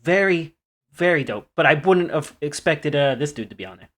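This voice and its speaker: Relaxed, rambling male podcast co-host. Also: fairly high pitch, moderate pace. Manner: relaxed, trails off and restarts sentences. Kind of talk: casual music chat.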